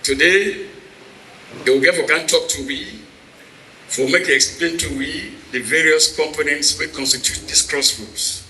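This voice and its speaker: A man's voice: fairly high pitch, unhurried, bright, forceful tone. A man with a Sierra Leonean Krio accent welcoming a gathering as moderator of a meeting. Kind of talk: informal welcome speech to a gathering. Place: hall at a town hall meeting. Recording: echoey hall with background noise.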